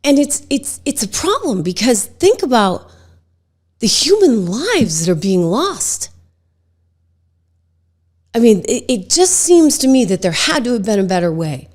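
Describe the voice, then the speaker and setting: A middle-aged woman hosting a political talk show, slightly hoarse from a cold, talking emphatically and conversationally with lots of "like" fillers. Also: fairly high pitch, very animated, unhurried, pauses often, a little mellow. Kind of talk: opinionated political commentary.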